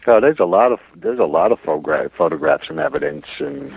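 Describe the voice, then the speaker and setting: Deep-voiced man on a tinny phone line. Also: very animated, brisk, creaky, husky, dry recording. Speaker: interview guest, researcher and author. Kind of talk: casual conversational explaining. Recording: thin, narrow phone-like line.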